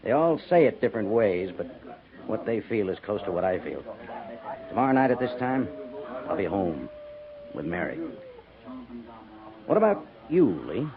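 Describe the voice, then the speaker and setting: Homesick army major on the frontier, lowish voice, reflective, old tinny radio drama. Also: male voice, very animated, normal speed, long pauses, crowd noise. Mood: earnest, wistful. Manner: reflective, questioning. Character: earnest, homesick married male cavalry major. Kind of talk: 1950s radio western acting. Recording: tinny 1950s radio recording, busy background.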